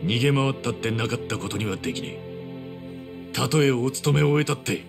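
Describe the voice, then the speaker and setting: An actor playing a loyal, earnest street-tough man in a game cutscene, speaking roughly and from the heart. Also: medium pitch, animated, moderate pace, over music. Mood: heartfelt, urging. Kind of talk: dramatic game cutscene acting.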